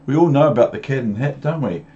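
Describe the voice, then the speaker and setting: A man's voice: medium pitch, animated, quick pace, dark tone, lo-fi sound. A man with a New Zealand accent reading a storybook aloud to young children, with chatty asides. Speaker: storybook reader.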